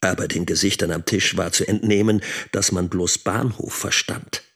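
A male actor plays a middle-aged schoolteacher, brooding and critical. His low, gravelly, husky voice turns over his thoughts in a reflective radio drama monologue. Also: fairly even intonation, moderate pace, fairly bright tone, exaggerated voice. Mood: indignant. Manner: reflective, self-questioning.

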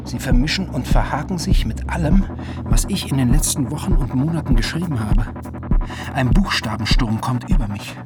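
A man's voice: mid-range voice, animated, moderate pace, natural voice. A male actor playing a manic, paranoid writer in psychotic breakdown, narrating a literary radio-play monologue with feverish intensity. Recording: loud music under the voice. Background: prominent music.

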